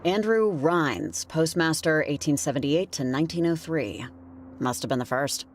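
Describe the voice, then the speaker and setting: A woman's voice: low-pitched, expressive, moderate pace, slight background noise. A woman playing a curious, wry, level-headed heroine in an adventure game, casual and questioning. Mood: reading aloud. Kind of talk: naturalistic game voice acting. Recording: faint music under the voice.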